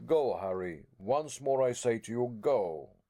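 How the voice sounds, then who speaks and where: A man's voice: medium pitch, expressive, takes it slowly, warm tone. A man voicing a stubborn older professor and explorer who refuses to turn back, tender at first and then harsh and commanding. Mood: fierce.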